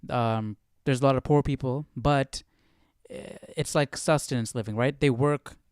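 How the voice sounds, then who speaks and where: A man's voice: medium pitch, steady tone, normal speed, a little mellow, smooth, dry recording, studio quality. A man hosting a live study stream, thinking aloud in casual commentary and often repeating and rephrasing himself.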